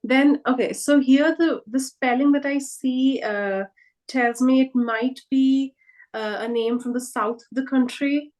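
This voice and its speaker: A woman's voice: high voice, fairly even intonation, a little slow, darkish tone, low-quality recording. A woman whose first language is Hindi, presenting a live online workshop over a video call and carefully repeating each name as she explains it.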